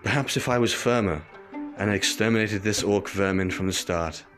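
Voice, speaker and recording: An actor playing a harsh, ruthless leader speaking coldly with regret. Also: male voice, lowish voice, normal speed, over music, close to an everyday voice. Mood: bitter.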